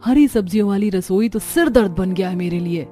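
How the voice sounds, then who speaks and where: A woman's voice: medium pitch, a little fast, mellow, lightly acted. Woman playing a plaintive young daughter-in-law. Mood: grumbling. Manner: plaintive, grumbling. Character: young put-upon daughter-in-law, complaining and squeamish.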